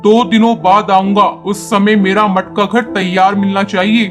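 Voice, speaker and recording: A menacing male bird from a cartoon, as a woman acts him out, bossy and threatening. He talks at an ordinary middle pitch, and music plays softly behind him. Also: level tone, moderate pace, natural voice.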